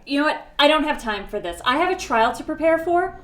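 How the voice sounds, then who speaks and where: Actress as polite but easily exasperated woman, slightly high voice, firm, dry, correcting, faint background noise. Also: expressive, moderate pace, lightly acted. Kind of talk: comedic audio drama dialogue.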